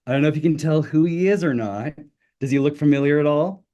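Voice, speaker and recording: A man's voice: on the high side, quick pace, mellow, highs cut off. A middle-aged man whose first language is Italian, giving a friendly, informal talk as a guest speaker and telling personal stories. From Italy. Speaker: guest speaker, linguistics professor. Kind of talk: personal talk on an online webinar.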